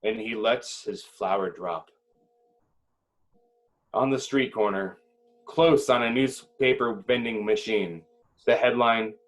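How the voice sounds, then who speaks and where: A man reading screenplay directions as dramatic narration at a table read, with deliberate phrasing and short pauses. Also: mid-range voice, rough recording.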